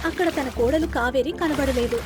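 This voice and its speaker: High-pitched woman storyteller. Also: normal speed, music in the background. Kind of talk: scripted story narration.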